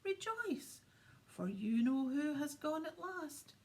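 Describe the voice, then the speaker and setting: A woman doing a squeaky, jubilant tiny old man. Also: big pitch swings, slow pace, gravelly, heavily acted. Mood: joyful. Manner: soft, whispery delivery with sudden high exclamations.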